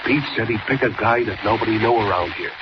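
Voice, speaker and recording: A man's voice: fairly low pitch, fast, close to an everyday voice. An actor playing a tough, impatient jailbird gangster with clipped wise-guy slang in a 1940s radio drama. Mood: scheming, confident. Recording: dull, noisy old radio transcription.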